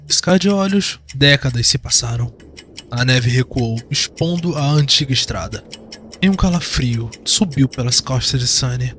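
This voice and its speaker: A man's voice: medium pitch, lively intonation, normal speed, a bit bright, slight background noise. A man with a Brazilian accent giving dramatic scripted narration of a fantasy audio drama. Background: background music.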